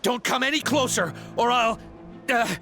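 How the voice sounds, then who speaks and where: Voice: mid-range voice, moderate pace, sharp tone, soft background music, close to an everyday voice. A performer voicing a cowardly, panicky poacher in a game, stammering and whiny. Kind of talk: game dialogue.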